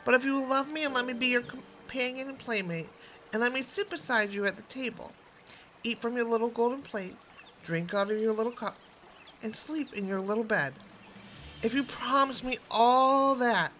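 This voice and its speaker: An insistent little storybook frog, acted out by a woman reader, pitched high and calling out. It pleads and demands like a creature set on being let in, with music clearly heard behind it. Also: moderate pace, fairly bright tone, slight background noise, natural voice. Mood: bargaining. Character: pleading, insistent talking frog from a fairy tale.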